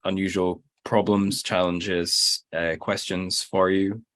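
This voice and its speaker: A man with a very low voice hosts a book club chat and puts polite, short questions to his guest. The sound is dry and close, with no room around it. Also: little pitch movement, slow, a bit bright, no room sound. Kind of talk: casual interview questions.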